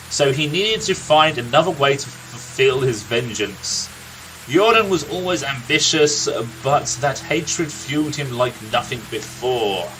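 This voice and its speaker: A man playing a gruff, irritable older villager in a video game, reading out a tale in a blunt storytelling manner. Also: medium pitch, sharp tone, a little hiss or hum, poor audio.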